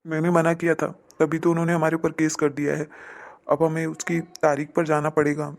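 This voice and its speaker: A man acting a troubled, weary husband in a Hindi animated family melodrama, complaining plainly. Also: fairly high pitch, a little fast, creaky. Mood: worried.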